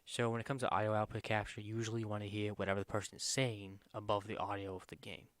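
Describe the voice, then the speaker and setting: Soft-spoken man rambling through a tutorial. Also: lowish voice, little pitch movement, quick pace, dry recording. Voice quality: quiet voice. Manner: rambling, informal, many fillers and self-corrections. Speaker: streamer giving a software tutorial. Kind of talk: casual screen-recorded tutorial.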